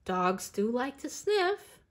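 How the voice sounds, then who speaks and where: Woman as a matter-of-fact young boy, animated. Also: on the high side, slow, voice pushed up. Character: matter-of-fact young boy, the brother's know-it-all friend.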